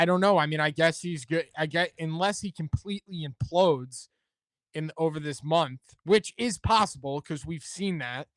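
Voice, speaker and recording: Male sports podcaster, smooth tone, dry close sound, casual and conversational, reasons things out as he goes. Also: on the high side, fairly bright tone, dry recording. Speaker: sports talk show host. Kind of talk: casual sports commentary chat.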